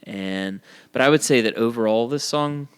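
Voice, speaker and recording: A man's voice: mid-range voice, normal speed, smooth. A man speaking as a band musician and songwriter in a casual podcast chat, earnest and prone to casual swearing.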